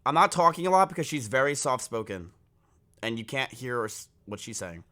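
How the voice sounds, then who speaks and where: Jersey dude reacting on stream, deep but bright and forceful, swears a lot. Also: male voice, very animated, a little fast. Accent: New Jersey. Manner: blunt, profane, joking. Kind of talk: casual live reaction commentary.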